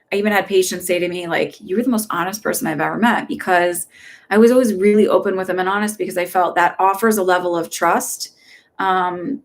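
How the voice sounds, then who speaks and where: A middle-aged woman from Texas with a slightly low voice, talking in a chatty, rambling way. Her delivery is upbeat and gently reassuring as she gives casual live readings to viewers. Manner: gentle and reassuring when giving advice. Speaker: psychic medium giving live readings.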